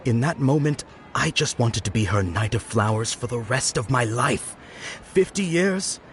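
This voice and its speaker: A man's voice: medium pitch, lively intonation, moderate pace, slight background noise, close to an everyday voice. A man voicing a bashful young knight in love. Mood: earnest. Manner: bashful, earnest, a little flustered. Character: shy young male festival knight, earnest and lovestruck. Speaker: game character. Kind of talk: video game voice acting. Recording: game audio with background music.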